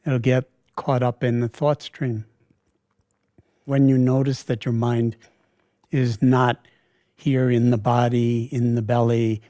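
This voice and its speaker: Male meditation teacher for guided practice: relaxed, dark timbre, calm and very unhurried delivery with pauses mid-phrase. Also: medium pitch, no room sound, studio quality.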